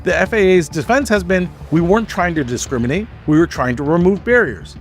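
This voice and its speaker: Male narrator, slightly high register, scripted explainer read with emphatic, orderly delivery over a light music bed. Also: animated, a little fast. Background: background music.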